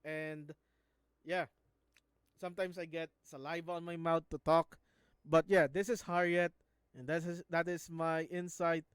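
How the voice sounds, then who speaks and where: A man's voice: a little high, flat delivery, unhurried, pauses often, clear, resonant tone, dry recording. A man from the Philippines with a Filipino accent, talking casually in a video as he analyzes scenes, often stopping to restart words. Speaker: YouTuber analyzing a show.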